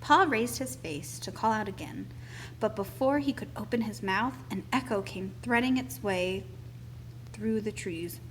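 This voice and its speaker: A woman reading a children's picture book aloud as expressive, deliberate storytelling. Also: medium pitch, slight background noise, rough recording.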